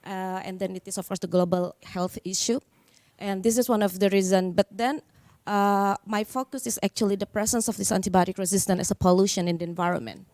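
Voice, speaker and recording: A woman's voice: mid-range voice, steady tone, fairly quick, a little mellow, no room sound. A woman from Indonesia in her thirties, a startup founder on a panel, explaining plainly with fillers. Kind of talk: panel talk. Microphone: handheld.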